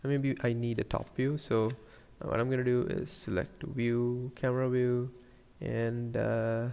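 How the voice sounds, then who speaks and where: Slow, gravelly Sri Lankan man in reverb. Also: mid-range voice, flat delivery, a little mellow. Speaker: 3D animation tutorial streamer. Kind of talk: casual live tutorial, thinking aloud.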